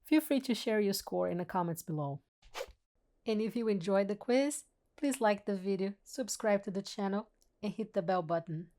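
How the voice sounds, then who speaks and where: A woman host signs off in an upbeat, quick way, her voice mellow and soft-edged. Her pitch sits in the middle range. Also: expressive, a little hiss or hum. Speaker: channel host. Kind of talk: outro call to action.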